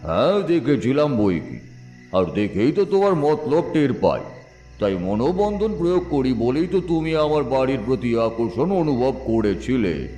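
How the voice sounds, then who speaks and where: A man playing a ghostly old tantric astrologer who speaks slowly with knowing chuckles, kindly but stern. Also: mid-range voice, big pitch swings, dark tone, echoey room, soft background music, close to an everyday voice. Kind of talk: Bengali audio story acting.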